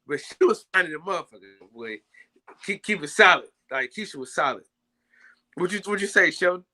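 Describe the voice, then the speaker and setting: A young man hosting a casual live-stream chat, loudly shouting out viewers by name. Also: high voice, animated, a little fast. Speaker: podcast host.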